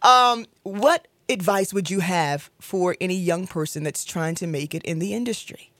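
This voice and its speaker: A woman hosting a casual radio interview, chatty and quick to react. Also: fairly low pitch, fairly bright tone, dry recording. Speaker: radio host.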